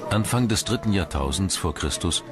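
A man reads scripted documentary narration in a measured, storytelling way. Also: low voice, animated. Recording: loud music under the voice. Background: loud background music.

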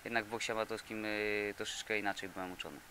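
Young man with a bright, hesitant voice. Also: fairly low pitch, little pitch movement, a little hiss or hum. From Poland. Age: 20s. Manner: halting, many fillers and repeated words. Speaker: boxer. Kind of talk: interview answers. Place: indoor boxing gym. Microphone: handheld reporter mic.

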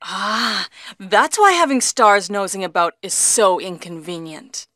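An actress playing a brave young heroine in a video game, earnest and deliberate, with stilted delivery. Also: female voice, mid-range voice, sharp tone, no room sound, clean studio sound. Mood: accusing. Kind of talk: video game cutscene acting.

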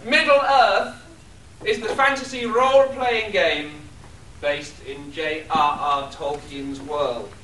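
Wry male auctioneer, slow and bright, heard from afar in an echoey room. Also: high voice, fairly even intonation, slight background noise. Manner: wry, drawn-out delivery. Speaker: charity auctioneer. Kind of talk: reading lot descriptions with asides. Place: hotel function room at a convention. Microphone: far room camera. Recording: echoey room, distant camera.

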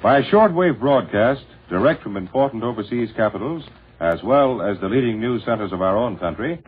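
A man announcing a sponsored 1940s radio program in a formal, emphatic style. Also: mid-range voice. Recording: muffled, rough 1940s radio broadcast.

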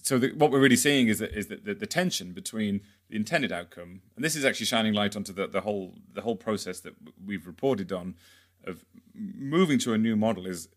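Man, podcast host, lowish voice, wry jokey questions, plenty of ums. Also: fairly quick. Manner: wry, jokey, hesitant with fillers. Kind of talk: hosting a podcast discussion.

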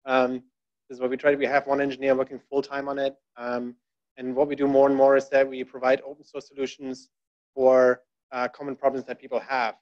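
A man's voice: mid-range voice, completely flat delivery, brisk. Casual man giving a meetup talk. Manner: conversational, many fillers, wry asides. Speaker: conference speaker. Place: dark presentation room. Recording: dull lo-fi room recording.

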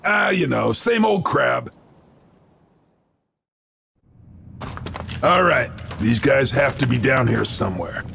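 Casting: cocky quipping shooter-game hero, flippant, creaky but lively and fairly high. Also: male voice, normal speed, a little hiss or hum, heavily acted. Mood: dismissive. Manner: sardonic, one-liners. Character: cocky wisecracking action hero, tough and flippant. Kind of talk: video game cutscene acting.

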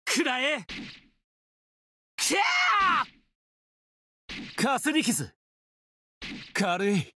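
A man's voice: extremely high pitch, huge pitch swings, very slow, pauses often, pushed and bright, a little hiss or hum, full character voice. A man playing an easygoing, teasing young swordsman in a mobile game, with a casual, playful drawl. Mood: fierce, fighting. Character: easygoing, teasing young swordsman, laid-back surfer temper. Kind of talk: Japanese mobile game character voice lines.

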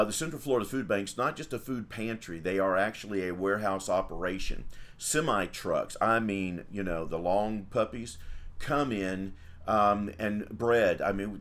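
Folksy priest, rambling livestream chat. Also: male voice, fairly low pitch, a bit bright. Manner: folksy, rambling with fillers and restarts. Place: small home room with icons.

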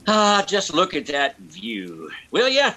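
A man plays a gawking, easygoing passer-by in a vintage radio play. His voice is bright and somewhat high, swooping widely as he drawls along slowly. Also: huge pitch swings, slight background noise, full character voice. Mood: gawking, amused. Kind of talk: old-time radio drama acting.

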